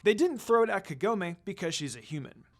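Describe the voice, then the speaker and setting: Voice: mid-range voice, animated, brisk, faint music behind. A fan fiction reader narrating a story in a dramatic reading style.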